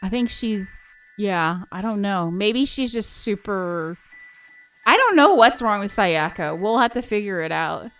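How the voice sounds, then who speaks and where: A woman's voice: mid-range voice, expressive, a little slow, a bit bright, faint music behind. A woman hosting a game playthrough, chatting casually and informally. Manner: informal, says y'all. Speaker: host of a game playthrough channel. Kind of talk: casual chat.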